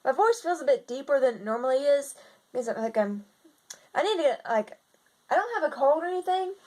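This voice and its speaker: A young woman with a slightly raspy voice, chatting in a casual video diary and rambling with lots of fillers and restarts. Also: high-pitched, expressive, fairly quick, darkish tone. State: slightly raspy from seasonal congestion. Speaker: vlogger.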